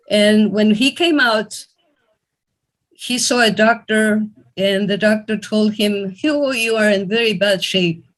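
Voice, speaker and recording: A woman's voice: mid-range voice, a bit bright, rough recording. Earnest woman from Ecuador telling a story. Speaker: event host. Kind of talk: fundraising appeal and personal story.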